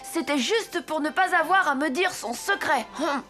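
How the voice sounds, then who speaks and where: A woman's voice: sits high, lively intonation, normal speed, fairly bright tone. A woman voicing an impatient, curious, headstrong young boy in a children's cartoon. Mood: suspicious, sulky. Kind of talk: French children's cartoon dubbing. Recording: music behind the voice.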